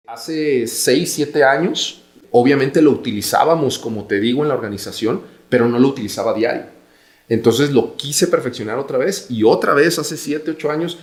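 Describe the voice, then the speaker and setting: A man in his forties with a Mexican accent, giving career advice as an interview guest in a measured, explanatory way. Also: medium pitch, echoey room. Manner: measured, explanatory, repeats phrases for emphasis.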